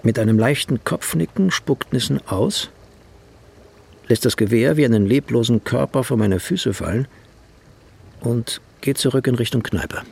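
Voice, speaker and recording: An actor playing a brooding writer who narrates his own dark story in an audio drama, measured and somber. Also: mid-range voice, gravelly, slightly rough. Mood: somber, watchful. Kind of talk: literary first-person audio drama narration.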